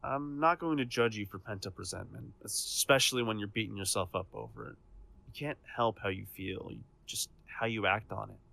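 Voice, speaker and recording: Casting a man for audio-drama supervillain: proud and earnest, bright edgy voice with some gravel, soft-hearted underneath. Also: fairly low pitch, fairly quick.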